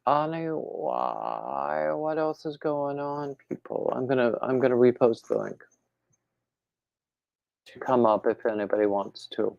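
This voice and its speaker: Male stream host, unhurried and rambling, gravelly lower voice, a bit congested, dull home-mic sound. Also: no room sound. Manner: rambling, relaxed, reads viewer comments aloud. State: slightly congested throat. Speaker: livestream host. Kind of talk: casual chat with live viewers.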